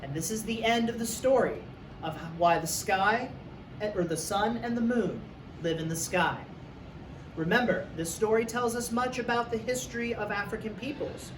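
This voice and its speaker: A man's voice: high voice, moderate pace. A man who works as a teacher, reading a story aloud for young students. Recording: echoey room, distant mic, faint noise.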